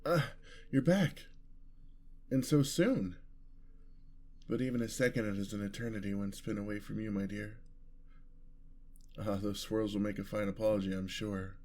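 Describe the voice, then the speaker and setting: A man playing a gentle, lovestruck, world-weary vampire in an ASMR roleplay, with courtly, flowery and tender phrasing. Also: lowish voice, normal speed, long pauses, lightly acted. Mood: fond, wistful. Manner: formal, flowery, tender old-fashioned phrasing.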